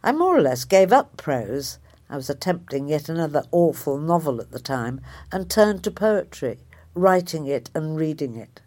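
A woman, a writer reading a reflective personal essay in a measured, thoughtful way. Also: low-pitched, big pitch swings, a little mellow. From Liverpool area, England.

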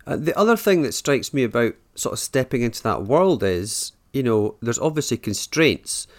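A man's voice: medium pitch, big pitch swings, normal speed. A man hosting an interview, asking long, meandering questions full of hesitations. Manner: rambling, hesitant questions with fillers. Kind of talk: conversational interview.

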